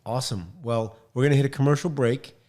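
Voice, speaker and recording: Middle-aged male podcast host, upbeat and joking, quick to chime in, close studio mic, home studio. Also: mid-range voice, darkish tone. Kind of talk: casual interview podcast. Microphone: studio mic on boom arm, headphones.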